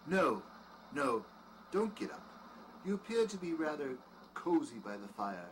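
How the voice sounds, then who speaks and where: A man acts out a hospitable doctor of a lonely mansion in an audio drama. His phrasing is formal and old-fashioned, courteous with a sinister edge. His pitch moves animatedly, and there is faint noise behind him. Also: sing-song, measured pace, rough recording. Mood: courteous, welcoming.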